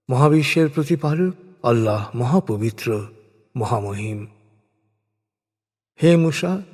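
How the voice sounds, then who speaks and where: Slow, solemn male narrator in long reverb. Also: a little high, darkish tone. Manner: measured, deliberate phrasing in short segments. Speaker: narrator of scripture translation. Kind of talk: solemn scripted recitation of translated scripture.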